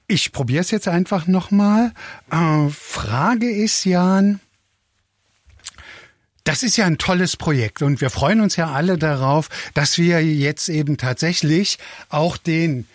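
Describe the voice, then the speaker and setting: A male interviewer with a fairly high voice who speaks slowly and deliberately. He asks long, friendly questions in a plain, dry, close recording. He comes across as an approachable moderator. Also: no room sound. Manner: slightly flustered by tech trouble, friendly. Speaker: interviewer host.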